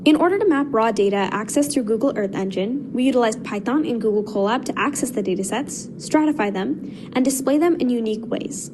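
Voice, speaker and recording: A teenage girl reads a technical explanation evenly from a script. Her voice is high, and steady background noise runs underneath. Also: fairly quick. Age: teens. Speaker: student presenter.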